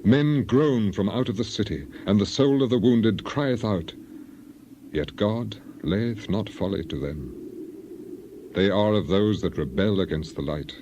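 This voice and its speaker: A man acts out a devout, suffering ancient man pleading his case in a Bible drama. The voice is low and the delivery grave and declamatory, with archaic phrasing. Noticeable ambient noise runs behind it. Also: a little slow, dark tone, lo-fi sound, natural voice. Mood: grave indictment. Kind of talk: dramatized scripture reading.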